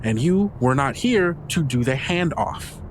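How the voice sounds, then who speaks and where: A man's voice: on the high side, animated, measured pace, slight background noise, pitched above the performer's own voice. A man voicing a fussy woman customer who scolds in an exasperated, emphatic way, sweet underneath. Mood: exasperated scolding.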